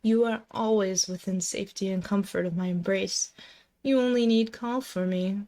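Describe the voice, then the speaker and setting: A woman's voice: mid-range voice, steady tone, normal speed, dark tone, natural voice. A woman playing a possessive, dominant and seductive female demon in an intimate, close-miked ASMR roleplay, commanding, teasing and doting. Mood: teasing, affectionate. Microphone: close binaural microphone.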